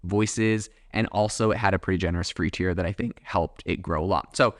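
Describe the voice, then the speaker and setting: Low-voiced man rambling through a podcast. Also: steady tone, fairly quick, dry recording, clean studio sound. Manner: rambling, lots of fillers and asides. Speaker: podcast host. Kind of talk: casual solo podcast commentary.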